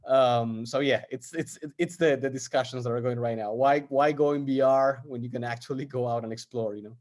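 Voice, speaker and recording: A man's voice: mid-range voice, fairly even intonation, brisk, darkish tone. A man from Argentina, speaking as an interview guest in a casual, hesitant way with many fillers and restarts. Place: based in the U.S.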